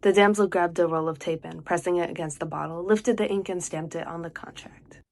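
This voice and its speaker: A woman's voice: on the low side, fairly even intonation, a little fast, darkish tone, slight background noise. A teenage girl from the United States with an American accent, reading a short story dramatically from a script. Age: teens. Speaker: young writer reading own story.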